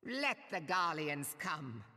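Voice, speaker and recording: A woman's voice: sits low, big pitch swings, slow, bright, forceful tone, heavily acted. A woman playing a bold, brash pirate admiral in a video game cutscene, commanding and defiant, with salty seafaring speech. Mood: defiant, rallying. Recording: long echoing hall reverb.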